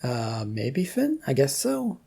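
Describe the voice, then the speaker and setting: Young man, anxious and halting played by an audiobook reader, husky, soft-edged tone. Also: medium pitch, wildly swooping pitch, heavily acted. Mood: nervous, unsure. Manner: halting, stammering. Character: young man, nervous, hesitant, unsure boyfriend.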